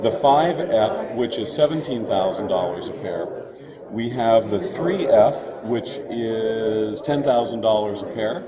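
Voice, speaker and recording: A man with a fairly low voice presents his company's products amid clear crowd noise. He speaks off the cuff as a trade show representative, explaining technical detail in a halting way. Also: expressive. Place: indoor trade show floor. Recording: busy crowd noise around the voice. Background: crowd chatter.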